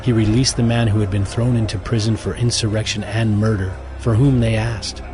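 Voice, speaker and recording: A man's voice: fairly low pitch, fairly even intonation, brisk. A man reading scripted scripture narration as the narrator of a drama. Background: music.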